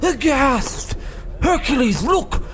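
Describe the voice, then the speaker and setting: An actor playing an earnest, easily shocked professor sidekick with proper, old-fashioned exclamations in a comic radio play. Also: male voice, extremely high pitch, lively intonation, slow pace, heavily acted. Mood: aghast. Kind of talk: old-time radio comedy acting.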